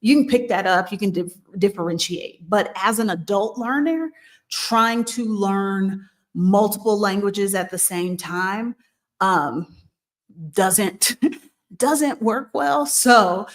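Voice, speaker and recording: Woman host, casual advice chat, slow unhurried delivery, mid-range voice, thinks aloud with ums. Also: mid-range voice. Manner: unhurried, thoughtful, frequent ums and restarts. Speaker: host of a move-abroad channel. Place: living in Mexico.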